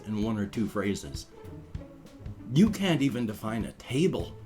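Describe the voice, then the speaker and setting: A middle-aged man vlogging in a rambling, casual monologue, restarting phrases and reasoning out loud to his viewers. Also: medium pitch. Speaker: vlogger, channel host.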